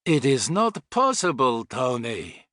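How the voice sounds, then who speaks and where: A man's voice: mid-range voice, sing-song, takes it slowly, bright, forceful tone, dry recording, studio quality, full character voice. Man putting on a harsh Germanic-accented thin man. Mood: grave disbelief. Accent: Teutonic (German). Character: tall thin grave Teutonic man in audiobook.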